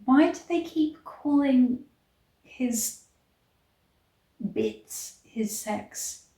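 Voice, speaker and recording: Slow-paced woman reading aloud, roomy echo. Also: high-pitched, warm tone. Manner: mock-dramatic reading broken by blunt, incredulous commentary. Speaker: online reader and commentator. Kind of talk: reading a novel aloud with incredulous asides. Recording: roomy, echoey sound.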